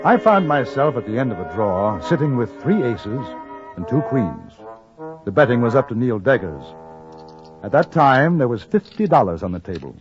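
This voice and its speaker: An actor playing a polite English newspaperman who narrates a 1950s radio western in a courteous, measured, well-spoken English accent. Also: mid-range voice, big pitch swings, natural voice. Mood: measured narration. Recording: muffled 1950s radio recording with music.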